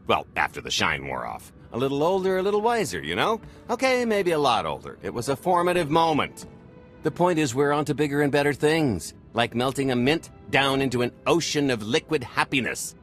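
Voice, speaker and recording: An actor playing a cocky, wisecracking space smuggler in a video game cutscene, breezy and sardonic. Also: male voice, medium pitch, very animated, normal speed, fairly bright tone, faint music behind. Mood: sardonic, persuasive. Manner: breezy, sardonic, charming swagger.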